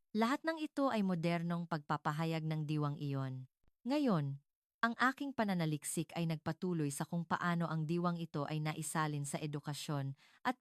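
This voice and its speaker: A woman reading a scripted dramatic narration in a measured, earnest storytelling manner. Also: on the low side, clean tone, no room sound, clean studio sound.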